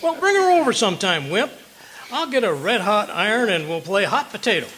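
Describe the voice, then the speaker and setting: A man's voice: sits high, big pitch swings, brisk, a faint crowd, full character voice. Man acting a blustery, folksy husband, swooping delivery. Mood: jovial, curious. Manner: folksy, exclamatory. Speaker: actor in a live radio comedy. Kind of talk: old-time radio comedy acting. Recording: live recording with loud hissing noise.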